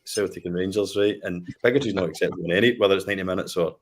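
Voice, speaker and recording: Low-voiced scottish guy, late 30s, easygoing storyteller, laughs a lot. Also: very fast. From Scotland. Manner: laid-back storyteller, laughs easily. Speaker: podcast guest. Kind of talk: casual film discussion.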